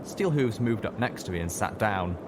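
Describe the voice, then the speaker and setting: Guy reading an audiobook style story, real deep voice, bit of a noisy background. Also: lively intonation, moderate pace. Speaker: audiobook reader. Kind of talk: scripted first-person story narration.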